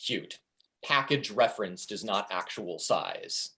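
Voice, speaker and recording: A man's voice: fairly low pitch, expressive, slow pace, sharp tone, exaggerated voice. A man playing an edgy, foul-mouthed and sarcastic tour guide in withdrawal in a comedy table reading. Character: brash, crude, edgy male factory tour guide in withdrawal. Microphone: video call. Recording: video call audio.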